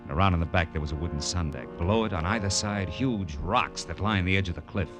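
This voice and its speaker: An actor playing a tough American private detective in a 1940s radio drama, terse and wisecracking. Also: male voice, low voice, fast, over music, close to an everyday voice. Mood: tense, hushed. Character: tough, hard-boiled male private detective narrating his case.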